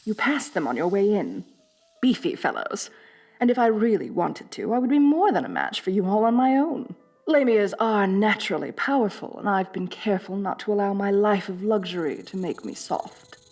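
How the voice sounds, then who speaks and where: A woman's voice: mid-range voice, big pitch swings, normal speed, a little mellow, soft background music. A woman playing a rich, bossy lamia mistress in a roleplay audio drama, haughty and condescending with affected elegance. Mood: domineering, smug. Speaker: wealthy mistress addressing a new servant.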